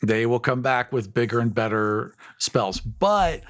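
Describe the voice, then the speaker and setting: A man's voice: medium pitch, expressive, a little slow. A man co-hosting a podcast, chatting casually in an emphatic, opinionated way. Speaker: podcast co-host. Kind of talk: casual chat about tabletop game monsters.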